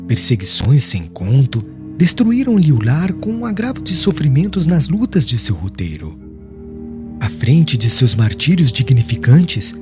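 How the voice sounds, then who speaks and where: A man voicing a serene, devout young preacher in an audiobook, eloquent and formal, declaiming with solemn firmness. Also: fairly high pitch.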